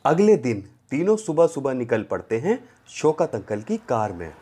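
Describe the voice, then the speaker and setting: A man's voice: mid-range voice, very animated, normal speed, warm tone, breathy edge. A man narrating a story for children in a storyteller's style. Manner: acts out the characters' dialogue.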